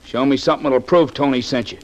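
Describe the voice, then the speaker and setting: A man's voice: mid-range voice, fast talker, highs cut off, lightly acted. An actor playing a belligerent, dim gangster's henchman in a 1940s radio drama, with slangy tough-guy bluster. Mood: suspicious, aggressive. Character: jumpy, belligerent, dim male gangland thug.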